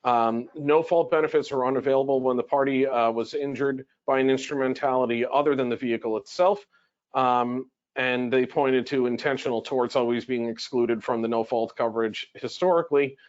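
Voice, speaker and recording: A man's voice: mid-range voice, steady tone, moderate pace, dull audio. A man presenting a legal webinar in a conversational, explanatory way, posing questions and then answering them. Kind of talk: webinar lecture, explaining from notes.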